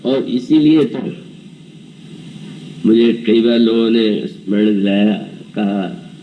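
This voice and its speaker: A man's voice: on the low side, slow pace, far from the mic, dull audio, slight background noise. A man in his seventies giving a devotional scripture discourse, telling the story and acting out characters' dialogue. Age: 70s. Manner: storytelling, voices characters' dialogue and chants verses. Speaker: preacher.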